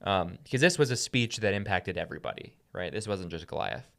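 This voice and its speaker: A man's voice: sits low, expressive, a little fast, creaky, fairly bright tone. A man speaking as a podcast host, teaching the Bible in a conversational way and often checking in with "right?".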